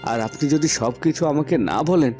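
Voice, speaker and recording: A man's voice: on the high side, fairly quick, darkish tone, faint music behind, natural voice. A man voicing a determined, desperate young man in a cartoon, speaking with dramatic insistence. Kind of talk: cartoon dialogue.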